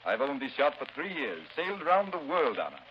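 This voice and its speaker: Man playing pompous British gentleman, swooping intonation. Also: mid-range voice, big pitch swings, fairly quick, lightly acted. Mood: bored, dismissive. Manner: pompous, sputtering. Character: pompous, blustering English gentleman yacht owner. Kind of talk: 1930s radio acting. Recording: dull, hissy 1930s radio recording.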